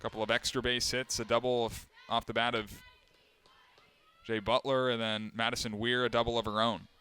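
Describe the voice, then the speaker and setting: A young man calling live games as a play-by-play announcer, steady between plays and climbing sharply in pitch and volume on big moments. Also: normal speed, clear, resonant tone. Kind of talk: live sports play-by-play commentary.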